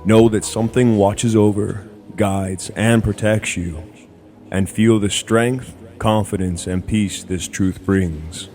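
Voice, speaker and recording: Deep, slow-speaking male meditation guide. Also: steady tone, darkish tone. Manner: slow, deliberate, soothing delivery in short phrases. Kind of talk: scripted guided meditation narration. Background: background music.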